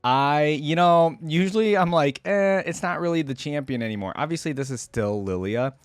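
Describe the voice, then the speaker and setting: A man's voice: fairly high pitch, normal speed, no room sound. A man giving casual, informal reaction commentary as a video host, musing aloud as he goes.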